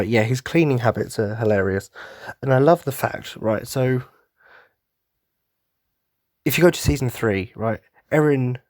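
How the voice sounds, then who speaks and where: A hoarse young man who is losing his voice, hosting a podcast in casual, rambling, enthusiastic chat full of ums and restarts. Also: medium pitch, darkish tone, no room sound, clean studio sound. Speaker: podcast host.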